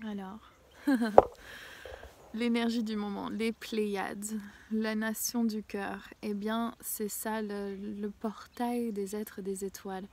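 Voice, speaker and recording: A woman with a head cold talks her way through an oracle card reading while sitting outdoors. Her voice sits at a middle pitch, and she pauses often on fillers before sliding into a hushed near-whisper. A faint hiss stays behind her. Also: flat delivery. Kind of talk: casual spiritual card reading. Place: outdoors in a small mossy spot with violets.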